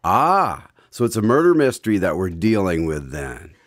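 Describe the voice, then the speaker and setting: Animated radio-show host played by a man. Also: deep voice, normal speed, dry recording, studio quality. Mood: friendly, curious. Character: friendly male announcer and visitor drawing out stories. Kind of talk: old-time radio show announcing and acting.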